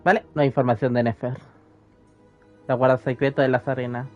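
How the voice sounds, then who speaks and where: A man's voice: mid-range voice, little pitch movement, fast. Offhand man commentating, dull microphone. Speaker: gaming streamer. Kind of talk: casual Spanish-language gameplay commentary. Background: game music.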